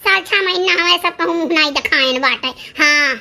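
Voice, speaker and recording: A performer voicing a sharp-tongued village woman in an Awadhi-accented cartoon comedy, emphatic and given to repeating phrases. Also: very high voice, fairly quick, creaky, full character voice. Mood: taunting. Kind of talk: cartoon comedy dialogue in rural dialect.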